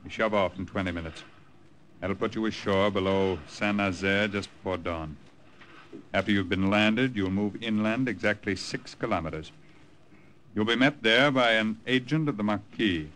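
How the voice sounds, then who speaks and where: An actor playing a stern, deliberate army colonel giving clipped, authoritative orders in a 1950s radio drama. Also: male voice, lowish voice, a bit bright, close to an everyday voice. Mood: commanding. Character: stern, deliberate military colonel briefing agents. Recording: noisy old radio recording.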